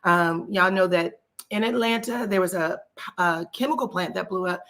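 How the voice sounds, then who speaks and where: A woman's voice: on the low side, normal speed, fairly bright tone. A woman with a scratchy voice hosts a live stream and chats straight to her viewers. She talks in a loose, folksy way, greeting people as she goes. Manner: chatty, folksy, addresses viewers directly. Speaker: celebrity gossip YouTuber. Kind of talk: casual live-stream gossip chat.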